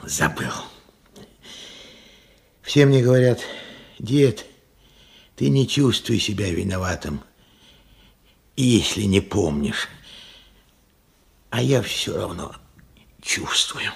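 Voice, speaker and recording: An actor playing a forgetful, bewildered old grandfather in a radio play, husky and gravelly, muttering and halting as he talks. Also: on the low side, lively intonation, exaggerated voice. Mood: muttering, wistful. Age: older. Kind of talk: Soviet radio drama acting.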